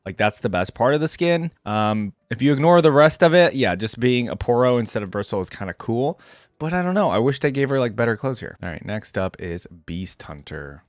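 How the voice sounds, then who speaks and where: A man's voice: medium pitch, expressive, brisk, no room sound, clean studio sound. A man giving casual, off-the-cuff reaction commentary as a video host, rambling informally as he thinks aloud.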